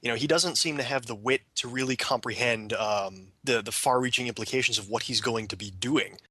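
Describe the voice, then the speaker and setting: A man in a casual panel discussion, giving opinions while pausing and searching for words. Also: mid-range voice, bright, forceful tone, husky, dry recording. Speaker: podcast panelist.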